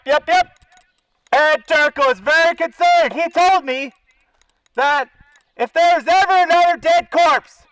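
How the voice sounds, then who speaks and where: Canadian male activist, extremely high-pitched voice through a bullhorn, dry and echo-free outdoors. Confrontational, sarcastic, profane protest delivery. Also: bright, forceful tone. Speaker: animal-welfare activist. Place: outdoors by a zoo fence.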